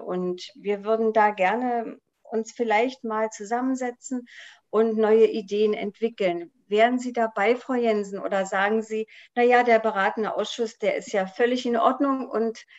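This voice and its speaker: A woman interviewer asking questions in a relaxed conversational way, with some hesitations. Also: fairly high pitch, a little slow, muffled recording.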